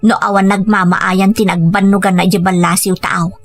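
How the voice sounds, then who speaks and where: A woman playing a female family member in an audio drama speaks at an ordinary middle pitch. Soft music runs beneath her lines. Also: flat delivery, normal speed, lightly acted. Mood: earnest. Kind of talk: Ilocano audio drama acting. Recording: music under the voice.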